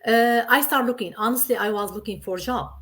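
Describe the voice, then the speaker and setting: Earnest Syrian-born woman, halting English. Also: fairly high pitch, a bit bright. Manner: earnest, repeats herself, simple non-native English. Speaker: guest. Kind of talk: casual interview.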